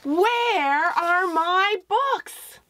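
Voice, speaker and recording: Lady doing a bewildered upset little kid from a picture book, super high, dragging words out. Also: expressive, background noise, heavily acted. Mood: indignant. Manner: loud drawn-out exclamations. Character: upset, bewildered young boy in a storybook.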